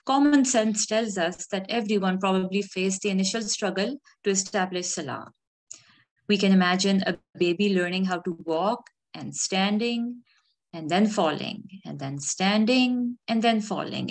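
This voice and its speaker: A woman gives a sermon in a calm, earnest manner, reflective and unhurried in tone. Her voice sits at a middling pitch for a woman. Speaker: khutbah speaker. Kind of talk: measured sermon.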